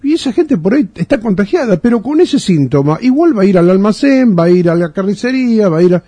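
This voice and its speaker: A man hosts a radio show in a studio. His voice is high and lively for a man, yet mellow in tone, with a dry sound. He talks emphatically and keeps coming back to the same point. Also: quick pace, no room sound. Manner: indignant, emphatic, repeats himself. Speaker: radio host. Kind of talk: radio talk.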